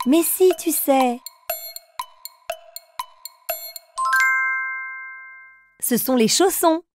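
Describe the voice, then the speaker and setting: A woman playing an encouraging grown-up who puts riddles to small children in a playful, clearly spoken way. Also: high-pitched, a little slow, darkish tone, soft background music. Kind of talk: children's riddle game narration.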